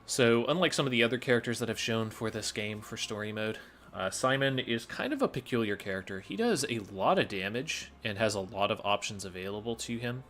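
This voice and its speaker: A man's voice: on the low side, lively intonation, a little fast, fairly bright tone, faint music behind. A man giving a casual gameplay commentary as a speedrunner explaining his play.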